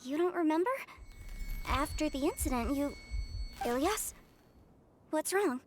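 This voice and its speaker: A woman's voice: high voice, lively intonation, a little slow, soft background music. A woman voicing a caring, spirited young sister in an anime game.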